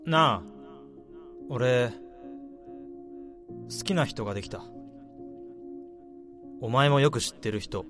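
A man's voice: mid-range voice, expressive, takes it slowly, long pauses. An actor plays a frank male coworker who speaks casually and needles his friend. His voice sits in a middle range. Faint music runs beneath him. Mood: confessing. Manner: casual, candid, slightly needling. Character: frank, teasing male coworker and rival in love. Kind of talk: radio drama acting.

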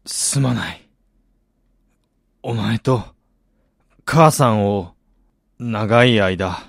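An actor playing a broken middle-aged father in a radio drama, heavy and introspective, halting with remorse. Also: lowish voice, gravelly, dry recording, studio quality, heavily acted. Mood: shaken, apologetic. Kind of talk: radio drama narration and acting.

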